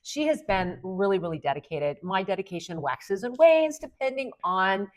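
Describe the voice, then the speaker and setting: A woman's voice: medium pitch, expressive, moderate pace. A woman in her fifties, a guest on a podcast, telling stories in a rambling way with lots of fillers. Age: 50s. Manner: rambling, meandering storyteller with many fillers. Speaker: podcast guest and Amazon influencer. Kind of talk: casual conversation.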